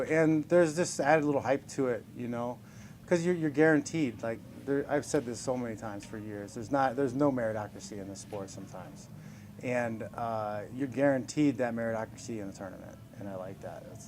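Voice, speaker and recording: A man in his forties fielding questions from reporters, loose and upbeat, joking about his own age between answers. His voice sits at an everyday middle pitch, with a faint hiss under it. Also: fairly quick. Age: 40s. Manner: upbeat, chuckling, self-deprecating. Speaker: professional fighter being interviewed. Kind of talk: casual press scrum answers.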